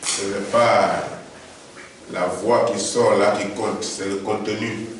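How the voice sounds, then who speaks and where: A man preaching slowly and deliberately, his voice thick from a head cold. He is recorded from a distance in a very echoey hall, with faint ambient noise behind him. His pitch sits in a middle register and his phrasing builds to emphatic rises. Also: fairly even intonation. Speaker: pastor. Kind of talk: sermon.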